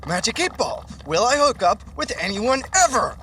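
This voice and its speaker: A man's voice: high voice, big pitch swings, moderate pace, background noise. An actor playing Michael, a nervous young man fretting over texting a girl he likes in a podcast sitcom. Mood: eager.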